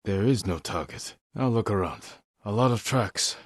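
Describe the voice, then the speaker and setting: A grimly resolute samurai leader for a video game. His low voice has a husky grain and sits in a very dry, close recording. He speaks with solemn, measured restraint in short, clipped lines. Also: lively intonation, no room sound. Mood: calm, resolute. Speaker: video game lead character. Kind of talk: dramatic video game voice acting.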